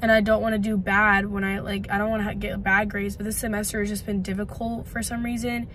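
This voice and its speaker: A woman's voice: mid-range voice, little pitch movement, fast, a bit bright, a little hiss or hum. A woman in her twenties, congested and losing her voice, chatting casually and rambling to a phone camera in a parked car. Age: 20s. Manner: chatty, rambling with many likes. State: congested, voice partly gone. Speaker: vlogger. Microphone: phone camera at arm's length.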